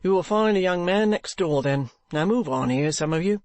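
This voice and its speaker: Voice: medium pitch, lively intonation, moderate pace, a little mellow, dry recording, pitched above the performer's own voice, lightly acted. A reader voicing an impatient policeman who speaks brusquely and gives orders. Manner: brusque, ordering people about. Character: gruff, impatient male policeman.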